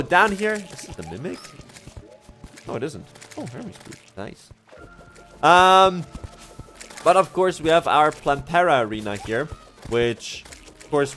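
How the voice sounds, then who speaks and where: Lively chatty Swiss man streaming. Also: medium pitch. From Switzerland. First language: German. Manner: chatty, laughs often, self-correcting asides. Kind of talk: casual gameplay livestream commentary.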